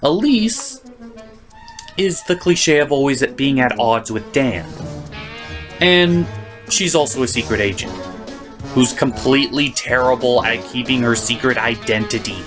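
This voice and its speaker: Theatrical man with very lively, swooping delivery. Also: medium pitch, measured pace, music in the background. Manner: theatrical, emphatic. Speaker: host and reviewer. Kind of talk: scripted comedic review rant.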